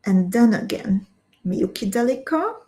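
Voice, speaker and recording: A woman talks viewers through each beading step, slowly and carefully. Her voice sits in a middle register, and she takes her time over every instruction. Between steps she chats easily with her live audience. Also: medium pitch, animated, warm tone. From Slovakia. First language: Hungarian. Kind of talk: live-stream craft tutorial.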